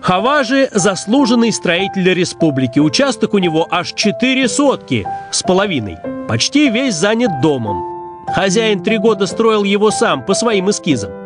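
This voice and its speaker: A male reporter narrates a travel documentary in a notably high register. His delivery is scripted and story-like. Music plays clearly behind him. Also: big pitch swings, moderate pace. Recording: music bed under the voice.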